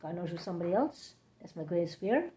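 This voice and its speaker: A woman with a hoarse, scratchy throat, hosting a rambling late-night livestream chat from home, halting and drifting between topics. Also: lowish voice, animated, warm tone. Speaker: livestream host.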